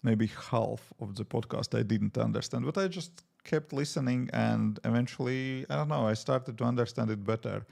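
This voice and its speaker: A man's voice: mid-range voice, normal speed, creaky, few pauses, darkish tone. A man from Latvia speaking English with a Latvian accent, chatting casually on a live coding stream and thinking aloud with many fillers. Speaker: app developer streaming live. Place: home desk.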